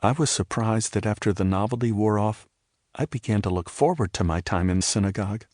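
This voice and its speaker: An older man giving a scripted, reflective first-person narration for an audio drama, in a measured storytelling manner. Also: lowish voice, darkish tone, dry recording, clean studio sound. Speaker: narrator and playwright telling his own story.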